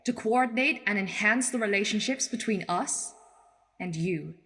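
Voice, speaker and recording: An actress playing a tough, plain-spoken ex-military leader in a radio play, making a frank and earnest speech. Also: female voice, mid-range voice, animated, moderate pace, fairly bright tone. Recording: long hall reverb.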